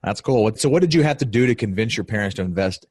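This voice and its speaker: A man hosting a podcast, asking questions in a brisk conversational way. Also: fairly low pitch, expressive, darkish tone, husky. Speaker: podcast host. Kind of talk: interview questions.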